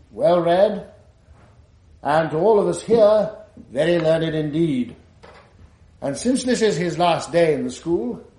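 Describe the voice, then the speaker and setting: A pompous headmaster. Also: male voice, fairly high pitch, warm tone, roomy sound. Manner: formal and oratorical. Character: pompous schoolmaster giving a prize-day speech. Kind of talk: 1980s radio drama acting.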